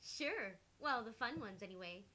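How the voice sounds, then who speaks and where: A woman's voice: on the high side, expressive, moderate pace, bright, forceful tone. A woman voicing a quirky, upbeat young witch in a visual novel, breezy and cheerfully odd. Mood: cheerfully weird. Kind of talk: live voice-acted visual novel read-through. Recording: clear background noise and hiss.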